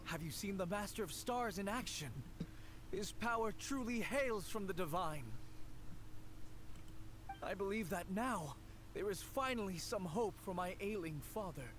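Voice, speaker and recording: Gullible devoted son, earnest, slightly high voice, music behind. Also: male voice, lively intonation, measured pace, fairly bright tone, slight background noise. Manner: earnest, trusting. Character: hopeful young man with an ailing father, gullible.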